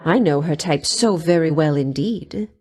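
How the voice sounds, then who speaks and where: An actress playing a flirtatious, doting goddess of love in a video game, with a coy, honeyed, theatrical delivery. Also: female voice, sits low, a little slow, mellow. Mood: gossipy, coy. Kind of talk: video game character voice lines.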